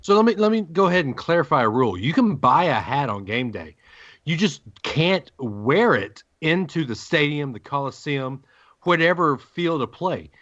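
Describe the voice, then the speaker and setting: A man's voice: on the high side, animated, normal speed, a bit bright. A man hosting a sports podcast with casual, opinionated and teasing banter. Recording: dull, lo-fi audio.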